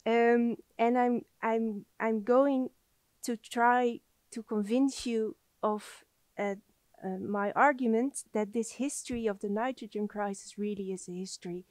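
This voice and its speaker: A woman in her thirties giving an academic lecture in a soft voice, standing in a library lecture space. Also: medium pitch, dry recording. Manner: soft-spoken.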